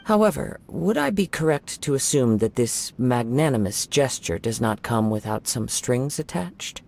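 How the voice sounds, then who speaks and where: A calm young wandering samurai, soft-spoken and formally courteous. His mid-pitched voice has a gravelly grain at the edges of his words. The dialogue comes from a game cutscene with faint music behind it. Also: moderate pace.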